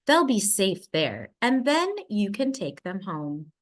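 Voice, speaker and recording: A woman voicing a cool, kindly but firm male mouse schoolteacher in a storybook, measured and teacherly. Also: mid-range voice, big pitch swings. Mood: firm, patient.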